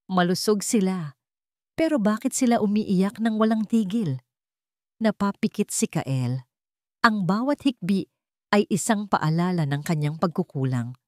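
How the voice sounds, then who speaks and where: A woman narrating a sentimental love story in a measured, earnest, dramatic way. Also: mid-range voice, lively intonation, dark tone, no room sound, studio quality.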